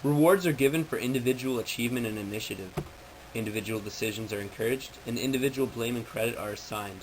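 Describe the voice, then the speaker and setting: A man's voice: mid-range voice, fast, a little hiss or hum, low-quality recording. A man reading out a prepared informational presentation in a formal, textbook-like way.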